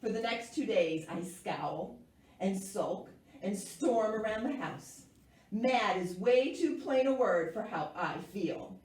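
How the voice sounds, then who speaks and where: A woman's voice: medium pitch, animated, slow pace, darkish tone, slight background noise. A woman voicing a dramatic, precocious little girl who loves fancy French words in a children's picture book. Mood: sulky, indignant. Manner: theatrical, precocious. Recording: echoey room, distant microphone.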